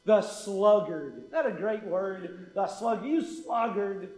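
A man preaching a sermon in earnest, quick with asides and repeating key phrases. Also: very high voice, reverberant, rough recording. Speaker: pastor.

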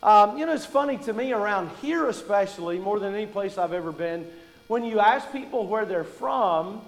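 A high-voiced man from Kentucky preaches, mixing folksy jokes into his teaching. His voice rings out with a long reverb, as in a big church sanctuary. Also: animated, moderate pace. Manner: folksy, joking storyteller. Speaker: pastor. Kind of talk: sermon.

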